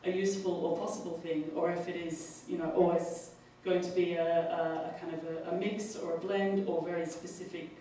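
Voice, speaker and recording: An Australian woman speaking at a panel discussion, halting often with fillers and restarts. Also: on the low side, little pitch movement, warm tone. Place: large public hall. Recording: echoey hall, distant mic, faint noise.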